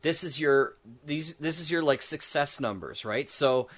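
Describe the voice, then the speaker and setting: A man's voice: on the high side, a little slow, fairly bright tone. A man chatting casually about game design as a podcast co-host, rambling and thinking aloud as he goes.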